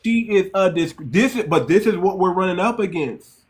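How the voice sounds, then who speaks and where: A male host with a high voice speaks emphatically. He cuts in often during a heated live-stream argument. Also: expressive, normal speed.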